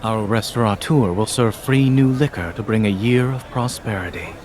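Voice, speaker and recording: An actor playing a calm, formal young general in a romance game, speaking in a measured, dryly teasing way. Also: male voice, lowish voice, natural voice. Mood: composed, tender. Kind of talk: restrained romance game voice acting. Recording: screen-recorded game audio with faint noise.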